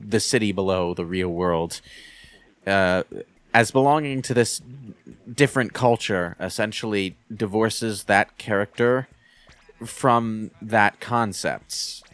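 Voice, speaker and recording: A man with a halting, word-repeating delivery, casually giving his opinions on video games as a commentator. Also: lowish voice, a bit bright, clean tone, no echo. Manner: halting, repeats words while forming arguments. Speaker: commentator on a gaming show.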